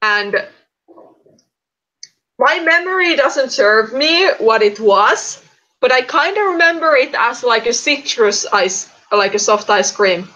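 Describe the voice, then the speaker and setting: A woman's voice: on the high side, expressive, takes it slowly, pushed and bright, muffled recording. A young woman from Finland with a Finnish accent, chatting casually on an animation livestream and filling her talk with "like" and "uh". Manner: chatty, many fillers, laughs often.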